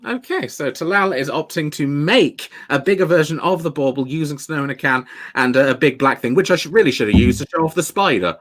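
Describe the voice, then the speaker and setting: A man in his thirties hosting a comedy panel game over a video call from home, excitable and quick to laugh. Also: fairly high pitch. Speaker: game show host. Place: home room.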